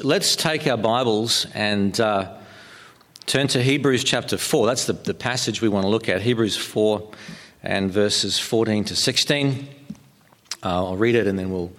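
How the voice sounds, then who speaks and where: Hesitant New Zealand pastor in a hall. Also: male voice, on the low side, unhurried, a bit bright. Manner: hesitant, many ums and restarts. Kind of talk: sermon. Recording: long hall reverb.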